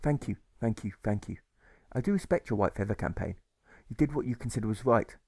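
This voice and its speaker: A man voicing a humble, gentle peace preacher in an audiobook, courteous and pleading, repeating himself. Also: mid-range voice, brisk, slightly rough, no room sound, clean studio sound. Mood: humble, apologetic.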